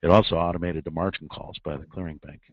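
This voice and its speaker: A man explaining financial markets on a conference call, in a measured way with frequent ums and uhs. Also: sits low, steady tone, dry recording. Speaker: repo market expert guest. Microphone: telephone. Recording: tinny phone line.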